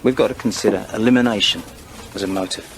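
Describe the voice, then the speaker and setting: A man's voice: on the low side, fairly even intonation, fast talker, background noise, natural voice. A man playing an earnest, plain-spoken British detective sergeant in an audio drama. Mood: matter-of-fact, keen.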